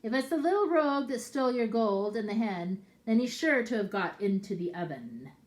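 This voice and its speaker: A woman's voice: on the high side, expressive, normal speed, pitched above the performer's own voice, lightly acted. A woman voicing the ogre's tall wife, sly and chiding, reading a storybook aloud. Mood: scolding. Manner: chiding, mock-sweet.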